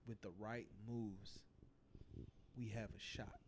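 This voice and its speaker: A man's voice: fairly low pitch, steady tone, slow, slight background noise. Southern man hosting casual talk. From South Carolina, United States. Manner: slangy, swearing freely, leading the discussion with questions. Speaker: livestream talk show host. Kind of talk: casual podcast discussion.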